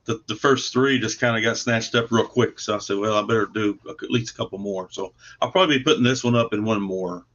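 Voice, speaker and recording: A man's voice: on the low side, fast, few pauses, a bit bright. A man chatting casually as an interview guest, earnest and plainspoken, explaining his comic book project on a video call. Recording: dull, lo-fi video call audio.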